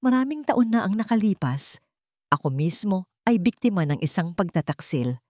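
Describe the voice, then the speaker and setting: A woman's voice: fairly low pitch, mellow, dry recording, studio quality. A Filipino woman voicing a regretful, earnest male CEO in a romance audio story, speaking in a solemn confessional manner.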